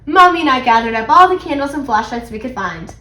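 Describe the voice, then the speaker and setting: A woman reading a children's story aloud in an animated, expressive way. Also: high voice, quick pace, echoey room, low-quality recording. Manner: animated, acts out character dialogue. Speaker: read-aloud channel host.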